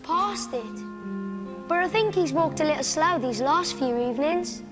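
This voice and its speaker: A high, gentle voice of a grieving youngster from a poor family. It is radio drama acting, with music clearly behind. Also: female voice, lively intonation, a little slow. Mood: subdued, sad.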